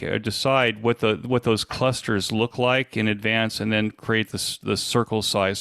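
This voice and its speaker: A man with a gravelly voice answering questions informally as a presenter, explaining things with frequent hesitant pauses. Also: lowish voice, a bit bright, dry recording, studio quality. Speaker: presenter and podcast host.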